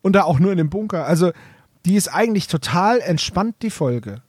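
Guy with a slightly high voice, casual podcast banter, really dry close sound. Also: animated, brisk, a little mellow, husky, no echo. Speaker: podcast co-host.

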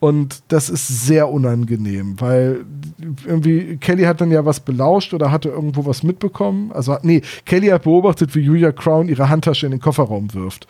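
A man's voice: a little high, lively intonation, normal speed, few pauses, dark tone. A man talking casually as a podcast co-host, retelling and discussing stories.

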